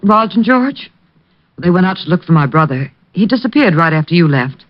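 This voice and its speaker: A woman's voice: fairly low pitch, very animated, normal speed. A genteel young lady in a 1940s radio mystery. She is courteous but anxious in every question. The recording is dull and old. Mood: polite, anxious. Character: polite, worried sister of a missing man. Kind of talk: 1940s radio drama acting.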